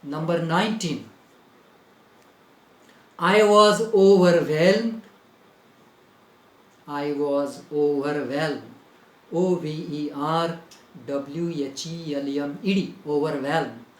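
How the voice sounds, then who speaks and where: A man's voice: a little high, fairly even intonation, slow, long pauses, echoey room, low-quality recording. A man with an Indian English accent teaching a grammar lesson. He repeats phrases for dictation and spells words out letter by letter.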